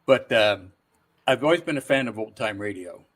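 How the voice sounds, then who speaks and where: Older man with a lowish voice. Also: animated, moderate pace, a bit bright. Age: 60s or older. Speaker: guest voice actor. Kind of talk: casual interview chat.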